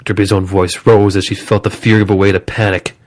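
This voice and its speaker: A man with a low voice narrates a scripted horror story. He tells it dramatically, as the narrator of an audio drama. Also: little pitch movement, fairly quick.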